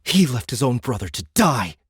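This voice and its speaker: A man's voice: mid-range voice, very animated, normal speed, husky, dry recording, studio quality, pitched above the performer's own voice. Man playing a blunt, defiant teenage boy. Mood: bitter, defensive. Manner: blunt, defensive. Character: fourteen-year-old boy recruit, defiant, hurt, brave.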